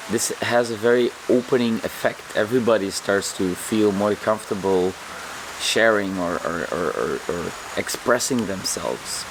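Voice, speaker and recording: A man vlogging calmly and earnestly, his voice slightly hoarse, often repeating words as he searches for them. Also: fairly low pitch, noisy background. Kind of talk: reflective vlog monologue.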